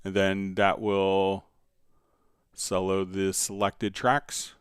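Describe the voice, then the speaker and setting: Low-voiced man hosting a software tutorial. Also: monotone, extremely slow, clear, resonant tone, no room sound, studio quality. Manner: conversational, step-by-step explaining. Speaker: livestream host, software instructor. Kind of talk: casual live tutorial, answering viewer questions.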